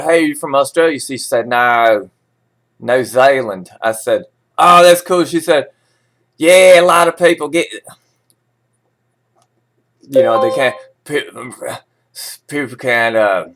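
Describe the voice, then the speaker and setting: A man's voice: mid-range voice, a bit bright. Casual, rambling man reading the news. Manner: informal, digressive, opinionated asides. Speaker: vlogger reading forex news with commentary. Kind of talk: casual rambling talk mixed with read-out news.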